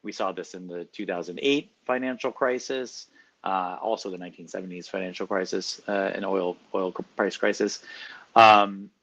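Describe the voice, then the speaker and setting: Man, low voice, dull lo-fi sound, professor guest, measured, lots of ums. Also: fairly even intonation, fairly bright tone. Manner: measured, explanatory, many fillers. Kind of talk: interview answers.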